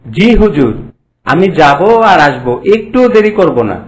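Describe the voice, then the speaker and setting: A man playing a wily village farmer who feigns humble innocence in an animated folk tale, talking politely. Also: on the high side, unhurried, low-quality recording. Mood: obliging.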